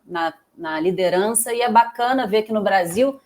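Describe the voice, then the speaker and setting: A woman's voice: mid-range voice, normal speed, darkish tone. Female moderator, Brazilian Portuguese accent, friendly and informal introductions. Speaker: panel moderator. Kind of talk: moderating a panel.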